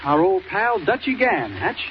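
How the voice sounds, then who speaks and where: An actor playing an earnest, eager young newspaper reporter in a 1940s radio drama. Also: male voice, sits high, very animated, normal speed. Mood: breezy, apologetic. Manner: earnest, urgent, breezy. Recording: noisy, muffled 1940s radio recording.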